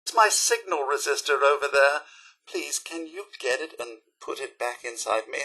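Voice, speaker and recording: A man playing a polite, fussy robot butler in a video game, with a British accent, a raspy voice and prim, theatrical, upbeat manners. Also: medium pitch, animated, normal speed, pushed and bright, low-quality recording. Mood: put-upon, polite. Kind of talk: video game character acting.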